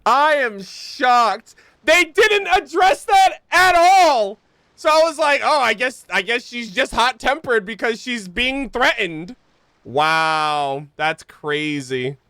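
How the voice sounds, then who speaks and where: A woman's voice: a little high, lively intonation, slow pace, a bit bright, no room sound. A young woman giving casual, exclamatory and slangy live commentary as a game streamer on a close mic. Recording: game music underneath.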